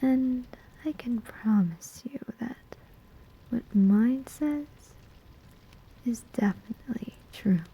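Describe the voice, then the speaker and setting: A woman's voice: a little high, dull audio, full character voice. A woman playing a sly, amused demon collector of souls in a whispered, teasing ASMR roleplay. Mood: smug, teasing. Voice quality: breathy, whispering. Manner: slow, teasing, deliberate pauses.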